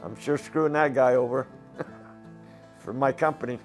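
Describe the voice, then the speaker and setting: An older man with a raspy voice, a former coal miner speaking plainly and indignantly in a documentary interview. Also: medium pitch, normal speed, soft background music. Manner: plain-spoken, indignant, rhetorical questions.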